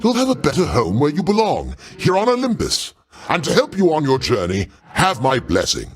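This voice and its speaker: An actor playing a proud, paternal older god in a video game, speaking in a pompous, avuncular way with formal, theatrical diction. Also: male voice, a little high, wildly swooping pitch, normal speed. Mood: gracious. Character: grandiose, paternal older male Greek god, proud, easily offended.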